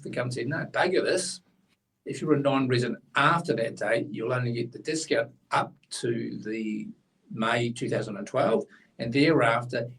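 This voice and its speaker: Gravelly man, blunt and opinionated. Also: mid-range voice, recorded from a distance, low-quality recording. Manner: blunt, opinionated, explains in plain terms. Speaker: co-host, tax commentator. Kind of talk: casual explanatory chat.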